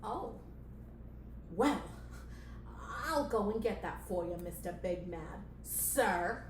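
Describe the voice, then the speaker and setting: A woman voicing a timid, eager-to-please female assistant in a puppet show, soft and stammering. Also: medium pitch, animated, slow pace. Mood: meek. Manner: soft, stammering, flustered, deferential. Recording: roomy echo, background noise.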